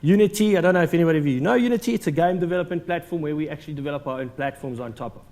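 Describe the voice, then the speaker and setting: South African male speaker, slightly high voice, casual and joking, hall with long reverb. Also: racing, darkish tone. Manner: jokey, conversational, many ums and uhs. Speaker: conference speaker. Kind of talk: conference presentation. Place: large conference hall.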